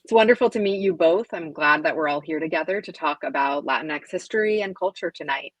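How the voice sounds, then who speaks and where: Low-voiced woman moderating a panel. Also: fairly quick, dry recording. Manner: clear and orderly, reads introductions and questions. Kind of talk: hosting a panel discussion.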